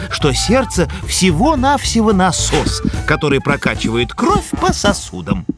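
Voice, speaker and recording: A man's voice: sits high, exaggerated voice. A man voicing a pedantic, sardonic know-it-all scientist creature in a cartoon, lecturing pompously as he explains science. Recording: music behind the voice.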